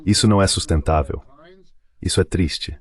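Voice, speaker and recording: A man's voice: low-pitched, moderate pace, no echo, studio quality. A man with a Brazilian accent reading a translated interview as a voice-over.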